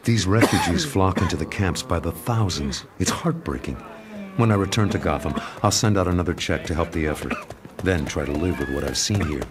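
Brooding vigilante hero, male actor: low-pitched, grave, commanding, protective, comic-book drama. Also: brisk, natural voice. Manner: grave, authoritative.

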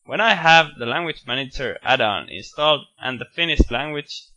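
A man walking through a software screencast, explaining each step in a steady, matter-of-fact way with bits of enthusiasm. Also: mid-range voice, moderate pace, muffled recording. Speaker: software tutorial presenter. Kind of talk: screencast walkthrough narration.

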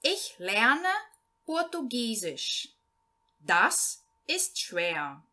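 A woman reading the lines of a man introducing himself, slowly and clearly, in a beginner language lesson. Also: a little high, big pitch swings, sharp tone, full character voice. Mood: plain. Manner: slow, clearly repeated reading for learners. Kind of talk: read-aloud language lesson.

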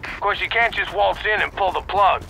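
An actor playing a middle-aged, jaded police captain, gruff, blunt and sardonic, in a video game. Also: male voice, sits high, moderate pace, bright, forceful tone, a little hiss or hum, heavily acted, rough recording. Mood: wry, briefing.

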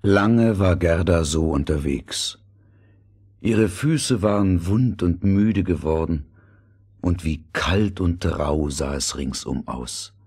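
A man's voice: low-pitched, slow pace, darkish tone, slightly rough. A man narrating a fairy tale in a scripted, storytelling style.

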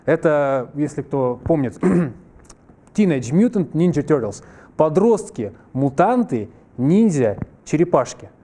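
A man in his thirties giving a live workshop lecture in a hall, lively and conversational, slightly ill and clearing his throat now and then, on a headset mic. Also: a little high, takes it slowly. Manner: lively, conversational, jokes with the audience. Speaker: workshop presenter. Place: large wood-panelled hall with a screen.

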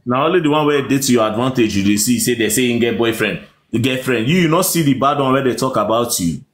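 A man's voice: medium pitch, lively intonation, fast. A Nigerian man with a Nigerian accent hosting a phone live stream, teasing his guests in pidgin and laughing often. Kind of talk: pidgin casual chat. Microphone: phone camera.

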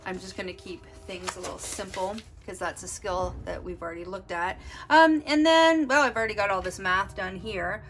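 A woman's voice: medium pitch, moderate pace, hardly any pauses, a bit bright, slight background noise. A woman art teacher giving a step-by-step drawing lesson, explaining as she works and thinking aloud. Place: desk, overhead camera on drawing.

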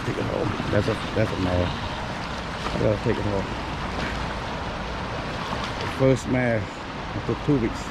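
A man giving excited running commentary while he fishes from a riverbank, talking to himself and repeating words. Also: on the low side, a little slow, long pauses. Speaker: fishing vlogger. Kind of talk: live commentary while fishing. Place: outdoors on a riverbank. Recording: outdoor recording with steady background noise.

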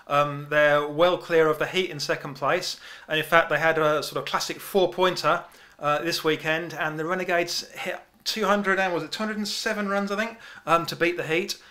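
A man's voice: on the high side, pushed and bright. A man, co-hosting a casual sports podcast and explaining things in loose, approximate terms. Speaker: podcast co-host and analyst.

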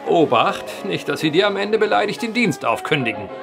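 An actor in a German audio drama playing a teasing, unyielding gambler with a wry, mocking manner. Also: male voice, sits high, very animated, normal speed, creaky, over music, exaggerated voice.

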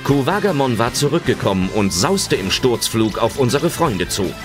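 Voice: medium pitch, sing-song, moderate pace, music in the background, natural voice. A narrator telling a children's adventure audio drama in dramatic scripted narration.

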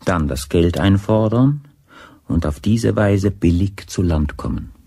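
A man narrating a radio drama in a measured, terse manner, with a husky voice. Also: sits low, lively intonation, warm tone, no echo, clean studio sound, full character voice.

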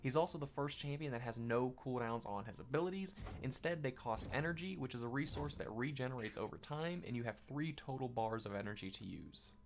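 A man's voice: mid-range voice, brisk, few pauses. Casting: male video game explainer host, average register, scripted informative read, slight background noise.

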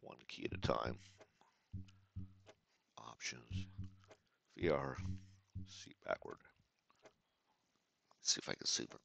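A man's voice: extremely low pitch, big pitch swings, slow, gravelly, pauses often, sharp tone. A man giving casual commentary to viewers while driving a racing sim at home, sometimes mumbling and trailing off as he adjusts settings.

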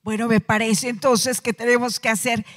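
A woman is hosting a studio talk show, putting quick conversational questions to her guest on a handheld mic. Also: on the high side, level tone, a bit bright, long reverb tail. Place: TV studio set.

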